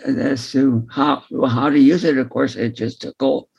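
A man leads a casual book discussion in a husky, creaky voice. He talks slowly and haltingly, doubling back on words. The audio is slightly dull and lo-fi. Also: mid-range voice, fairly even intonation, darkish tone.

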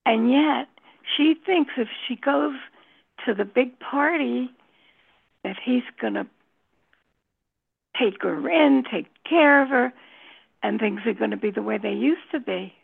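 A woman in a book group sharing her thoughts on a novel, slow and hesitant with many pauses. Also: on the high side, lively intonation, vocal fry, husky. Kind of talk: casual discussion. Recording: tinny phone line.

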